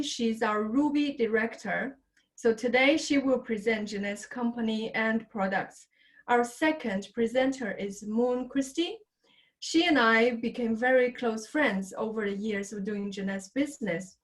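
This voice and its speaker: Woman hosting a video call, high voice. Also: poor audio. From China. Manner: friendly, unhurried, with many fillers. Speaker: host of an online business presentation.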